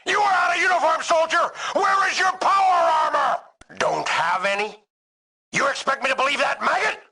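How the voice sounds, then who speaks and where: An actor playing a furious, bullying army drill sergeant in a video game, barking orders with contempt and sarcasm. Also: male voice, very high voice, lively intonation, moderate pace, vocal fry, pushed and bright, raised voice, heavily acted. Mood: scornful, sarcastic.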